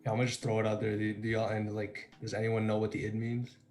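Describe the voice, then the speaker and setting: Fast-talking slangy young man. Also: on the low side, faint music behind. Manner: slangy, excitable, laughs loudly. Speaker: student in a class breakout group. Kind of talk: casual chat. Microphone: video call.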